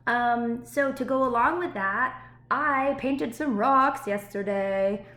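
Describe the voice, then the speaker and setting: A woman's voice: on the high side, takes it slowly, sharp tone. Woman teacher in a roomy, echoey space. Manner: encouraging, child-directed, with frequent check-in questions. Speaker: elementary school teacher. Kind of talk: read-aloud and chat to young pupils. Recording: roomy echo, faint background noise.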